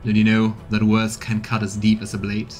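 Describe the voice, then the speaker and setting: A man's voice: on the low side, monotone, moderate pace, darkish tone, faint music behind, lightly acted. A man voicing a mocking, laid-back dwarf assassin from a fantasy game in a teasing way. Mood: mock hurt. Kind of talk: voiced reading of game dialogue.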